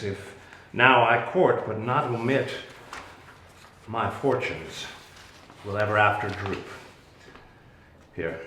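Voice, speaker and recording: A man playing a grave, commanding exiled duke and sorcerer in a deliberate, declamatory Shakespearean stage reading. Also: lowish voice, a bit bright, a little hiss or hum, full character voice. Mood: solemn, musing. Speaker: actor in dramatic reading. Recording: echoey room.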